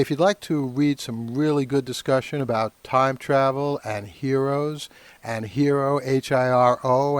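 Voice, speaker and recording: A man's voice: mid-range voice, clear, resonant tone, no room sound. Man hosting a podcast, dry close sound. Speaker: podcast host. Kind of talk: solo podcast talk.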